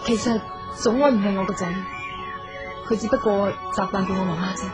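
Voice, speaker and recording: A woman's voice: mid-range voice, fairly quick, natural voice. A woman playing a guarded, proud daughter in a radio drama. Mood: confiding. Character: guarded, proud woman hurt by her father. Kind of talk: Cantonese radio drama acting. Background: music.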